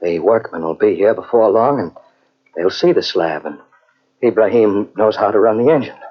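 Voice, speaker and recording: An actor playing a brave man in an old-time radio drama, speaking in an earnest, controlled, halting way. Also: lowish voice, lively intonation. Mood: quietly reassuring. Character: brave male companion trapped in a tomb, quietly reassuring. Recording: dull, muddy old cassette radio recording.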